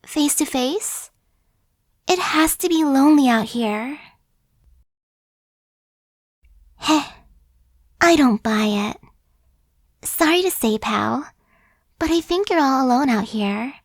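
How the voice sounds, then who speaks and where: A woman's voice: sits high, lively intonation, slow, long pauses, no echo, studio quality, heavily acted. A woman voicing a chatty, friendly female zombie who coaxes and persuades in a casual, sly way, in an ASMR roleplay. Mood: coaxing.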